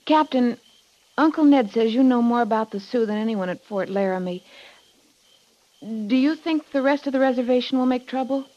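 An actress playing a polite, curious young niece of an army major in a 1950s radio western. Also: female voice, a little high, moderate pace, a little mellow, close to an everyday voice. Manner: genteel, inquisitive. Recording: dull lo-fi 1950s radio recording.

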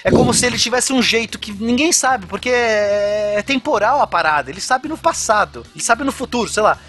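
A man explaining science on a podcast in an animated, slangy way. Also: sits high, fairly quick, soft background music. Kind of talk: casual explanation.

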